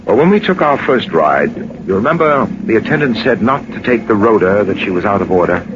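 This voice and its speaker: An actor playing a suave, commanding gentleman detective in a 1940s radio drama, speaking with assured authority. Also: on the low side, big pitch swings, brisk. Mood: calm, explanatory. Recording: noisy, dull 1940s radio recording.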